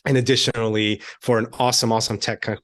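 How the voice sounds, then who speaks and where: A man in his thirties, born in Iran and raised near Washington DC, chatting as a guest over a call, friendly and quick to laugh. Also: mid-range voice, level tone, a bit bright. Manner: friendly, laughs easily, filler-heavy. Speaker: entrepreneur guest. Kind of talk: casual interview chat.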